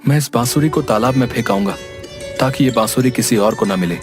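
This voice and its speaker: A naive young village man, played by a male actor, pleads in a moral tale. His pitch is average, and faint music sits behind him. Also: brisk, lightly acted. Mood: pleading. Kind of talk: dramatized story acting. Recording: music under the voice.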